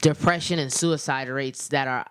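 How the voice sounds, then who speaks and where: A woman's voice: a bass voice, fairly even intonation, normal speed, clear, resonant tone. An American woman radio host chatting casually and giving opinions in an emphatic, slangy way.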